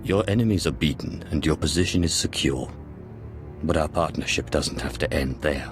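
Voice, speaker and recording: Very deep, stern Sith lord. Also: male voice, over music. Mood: formal. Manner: cool, measured, formal, authoritative. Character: stern, composed male Sith lord, measured and authoritative. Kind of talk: dramatic video game voice acting.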